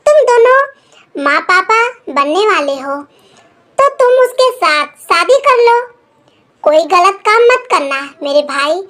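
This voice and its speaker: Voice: very high voice, fairly bright tone. A narrator reading a scripted first-person story, delivering the dialogue as part of the telling.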